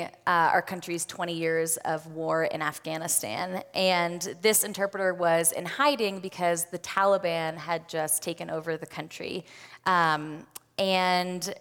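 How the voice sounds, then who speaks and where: A woman's voice: on the low side, a bit bright. A woman giving a personal testimony as a guest at a church service, earnest and conversational with frequent fillers. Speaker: guest speaker, nonprofit volunteer. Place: church sanctuary. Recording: long hall reverb.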